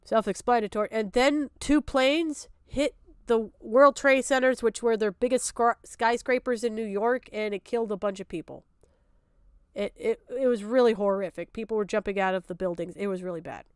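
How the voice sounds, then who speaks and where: A woman's voice: a little high, normal speed, clean tone, no room sound, clean studio sound. A woman streaming unscripted reaction commentary, exasperated, sarcastic and prone to swearing.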